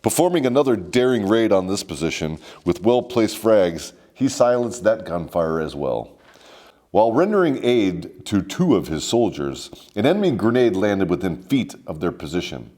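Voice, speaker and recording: A man's voice: lowish voice, sing-song, normal speed, mellow. A man hosting a scripted comedic military history story, mock-formal and sardonic with salty slang. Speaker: host and storyteller.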